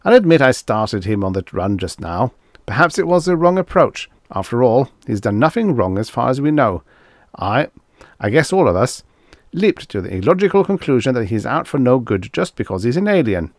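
A man's voice: mid-range voice, very animated, normal speed, no room sound, clean studio sound, pitched above the performer's own voice. A man reading an audiobook, voicing a take-charge man who speaks assertively and reasons things out aloud. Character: commanding, take-charge man giving brisk orders. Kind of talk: audiobook character dialogue.

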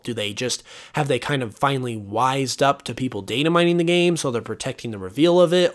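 A young man hosts a solo podcast in a loose, rambling way, full of fillers and restarts. His voice is wearing hoarse and he clears his throat at times, and his pitch sits right in the middle for a man. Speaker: podcast host. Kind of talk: casual solo podcast talk. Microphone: voice-over over gameplay footage.

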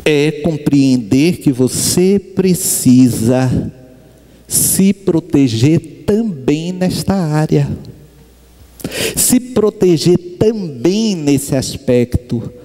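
A middle-aged man from Brazil delivers a spiritist talk on a stage, using animated storytelling and putting on the voices of the people he quotes. He speaks slowly, with a somewhat high pitch. The sound carries a long reverb from the hall. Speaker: spiritist lecturer. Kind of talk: lecture to a spiritist center. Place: stage with sunflower backdrop, large hall.

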